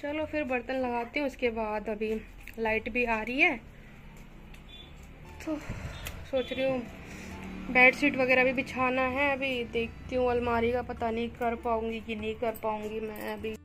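A young woman with a Rajasthani Hindi accent chats to her viewers in a slightly high voice while doing chores. She is recorded on a phone in an outdoor village courtyard. Background music plays clearly under her voice. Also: little pitch movement, unhurried, long pauses, a bit bright. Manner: chatty, addresses viewers directly. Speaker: vlogger. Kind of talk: casual vlog narration.

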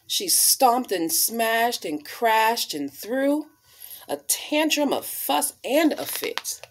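A woman in her thirties reading aloud to children as a storyteller, with deliberate, dramatic emphasis on key words. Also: fairly high pitch, animated. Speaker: storyteller reading a picture book. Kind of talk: expressive read-aloud for children. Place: small living room, indoors.

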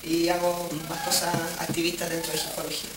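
Laid-back Chilean rapper, distant hissy tape. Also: male voice, fairly high pitch, completely flat delivery, quick pace. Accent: Chilean Spanish. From Santiago, Chile. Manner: casual, slangy, explaining. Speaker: hip hop workshop leader. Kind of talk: casual group introduction. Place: community hall indoors. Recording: echoey hiss-heavy 1990s camcorder tape from afar.

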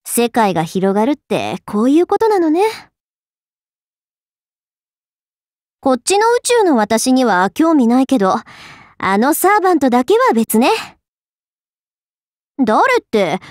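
A woman's voice: high-pitched, very animated, measured pace, darkish tone, no echo, clean studio sound. A woman voicing a proud young goddess swordswoman in an anime game, cool and dignified but easily flustered, with aloof asides. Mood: wry, surprised. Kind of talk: anime game character voice lines.

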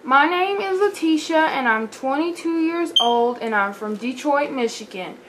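A woman's voice: high-pitched, expressive, a little slow, noisy background. A young woman voicing a brash, blunt party-girl doll in her early twenties.

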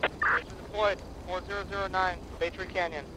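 A man's voice: high voice, flat delivery, moderate pace. A man role-playing a police officer, giving clipped, procedural radio reports in an online game. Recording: loud sirens and background noise.

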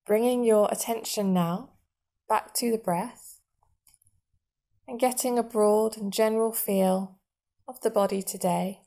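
A woman guiding a meditation in a soothing, measured manner, leaving long pauses between short phrases. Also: medium pitch, fairly even intonation, mellow. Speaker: meditation guide. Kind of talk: guided body scan meditation narration.

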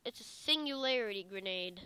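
A woman's voice: medium pitch, lively intonation, brisk, sharp tone, clear, resonant tone, no room sound. A young woman chatting casually while playing a video game, as host of a gaming video. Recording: clicky, noisy home gaming recording.

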